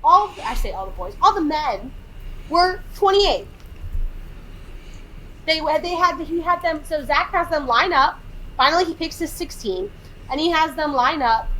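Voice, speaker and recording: Animated thirty-year-old woman recapping a film. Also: high voice, unhurried, slight background noise, rough recording. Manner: animated, exasperated, acts out quotes. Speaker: podcast co-host. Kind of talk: casual chat recapping a film.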